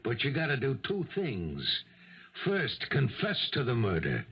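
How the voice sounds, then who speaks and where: An actor playing a gruff, insistent police lieutenant with a gravelly, sardonic delivery in a 1970s radio drama. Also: male voice, mid-range voice, wildly swooping pitch, measured pace, heavily acted. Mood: sardonic, pressing. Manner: hard-nosed, sardonic. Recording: dull lo-fi 1970s radio recording.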